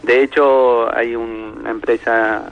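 A man with an Argentine accent speaks hesitantly, restarting sentences and filling gaps with "eh". His voice sits at an ordinary middle pitch. The sound is dull and lo-fi. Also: level tone, slow pace, creaky. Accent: Argentine Spanish. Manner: hesitant, many fillers and restarts. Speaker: guest, sauce maker.

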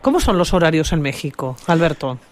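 A woman's voice: low voice, very animated, brisk. A woman hosting a radio interview program, encouraging guests with frequent brief acknowledgements. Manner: friendly, encouraging, frequent "ujú" backchannels. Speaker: radio host.

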